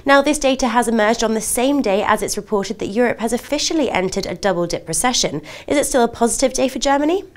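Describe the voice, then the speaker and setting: A woman's voice: fairly high pitch, lively intonation, quick pace, few pauses. A woman presenting television news and putting scripted interview questions in a formal, polished manner.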